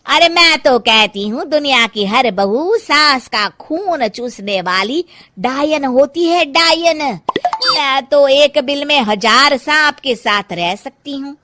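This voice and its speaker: A loud, jeering village gossip , as a woman puts her on, taunts her friends in a shrill, drawn-out way. Her voice sits high and swoops about. It is recorded dry and close for a cartoon story. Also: expressive, dry recording, exaggerated voice. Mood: mocking.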